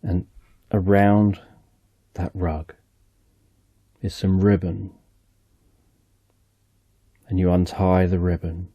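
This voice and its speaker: A man narrating a slow, soothing guided relaxation story in the second person, speaking softly and gently. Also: low-pitched, level tone, breathy edge, dry recording, dull audio.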